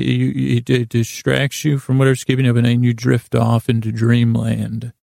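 A man hosting a podcast with a meandering, halting bedtime monologue, often trailing off mid-thought in a gravelly voice. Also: medium pitch, mellow, no room sound, clean studio sound. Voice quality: very creaky, gravelly voice. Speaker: podcast host. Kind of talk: rambling bedtime storytelling monologue.

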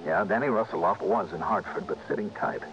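An actor playing a tough, terse investigator, middle-aged, acting and narrating in a hard-boiled radio drama. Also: male voice, deep voice, brisk, over music, natural voice. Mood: tense narration. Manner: clipped, hard-boiled, commanding. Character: tough, terse, wary male private insurance investigator. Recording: dull old radio broadcast recording.

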